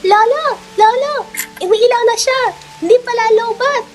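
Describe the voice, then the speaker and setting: Curious young grandson, female actor: very high, eager, childlike, radio drama over music. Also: normal speed. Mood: excited.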